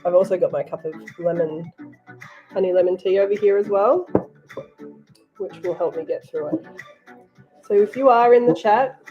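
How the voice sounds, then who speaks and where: A woman's voice: medium pitch, moderate pace, long pauses, highs cut off. Australian woman with a sore throat. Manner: chatty, addresses viewers directly. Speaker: craft livestream host. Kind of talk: casual livestream chat while crafting. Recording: faint background music.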